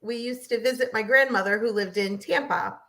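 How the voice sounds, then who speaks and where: Female American guest, bright forceful voice, chatty storytelling delivery. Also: on the high side, normal speed. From southern New Hampshire, United States. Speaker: guest, realtor. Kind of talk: interview storytelling.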